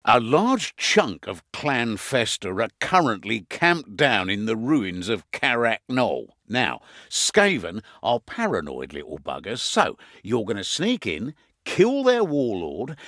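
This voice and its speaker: A man playing a gruff, wry mercenary quartermaster in a video game, briefing his fighters with earthy, commanding storytelling and dark humour. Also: mid-range voice, huge pitch swings, slow, no room sound, clean studio sound, heavily acted. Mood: sly, wry.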